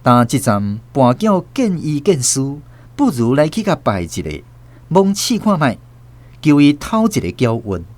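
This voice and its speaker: Animated Taiwanese male storyteller. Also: on the high side, a little slow. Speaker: narrator. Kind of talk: dramatic scripted story narration.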